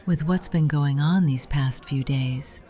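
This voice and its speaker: A woman voicing a flirtatious, jealous, tender aunt in a visual novel game, speaking softly and intimately with a breathy delivery. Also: deep voice, measured pace, faint music behind. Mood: embarrassed, vulnerable.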